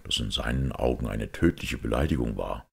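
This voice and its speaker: Shrewd priest sleuth read by a man, audiobook: very low, raspy, grave and measured. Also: expressive, full character voice. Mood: grave, probing. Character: calm, shrewd priest detective explaining a crime.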